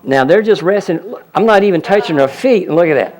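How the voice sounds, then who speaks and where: A man's voice: high-pitched, lively intonation, fairly quick, darkish tone. A man presenting a healing seminar, explaining a live demonstration to an audience and repeatedly pointing things out. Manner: explanatory, audience-addressing, frequent "look at that".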